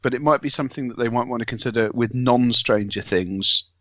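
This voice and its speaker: A man's voice: medium pitch, brisk, a bit bright, no echo, muffled recording. A man in casual podcast discussion, speaking in wordy, articulate phrases. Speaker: podcast panellist.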